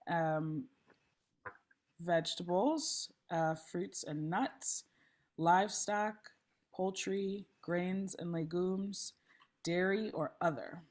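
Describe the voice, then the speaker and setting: A woman facilitating an online meeting, a little hoarse, friendly and chatty with frequent ums, speaking through a laptop mic on a video call. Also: lowish voice, extremely slow, darkish tone. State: slightly hoarse. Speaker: session facilitator. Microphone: laptop mic, then headphones.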